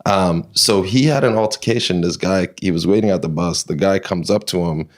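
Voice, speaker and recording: A man's voice: low voice, fairly quick. A man from New York, a guest in a casual interview, retelling personal stories with lots of fillers and restarts.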